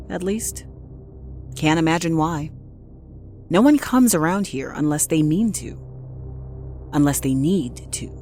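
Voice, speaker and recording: A woman playing a solitary, unflappable night nurse, narrating an audio drama in a measured, confiding way. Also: sits low, darkish tone. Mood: wry, ominous. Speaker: actress, narrator. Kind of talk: first-person scripted audio drama monologue.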